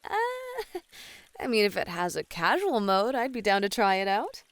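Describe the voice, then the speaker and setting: A woman playing a flirty, playful bunny woman in an ASMR roleplay, teasing and coy with soft sighs. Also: medium pitch, very animated, measured pace, no echo. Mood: friendly, teasing. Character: flirty, playful bunny woman, a model relaxing in a hot spring. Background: pouring, trickling water.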